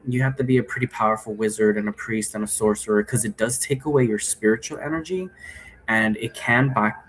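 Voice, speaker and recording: A man hosting in a casual, rambling, explanatory way, halting often and checking in with the listener. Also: lowish voice, low-quality recording. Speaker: host explaining role-play game rules. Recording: noticeable background noise.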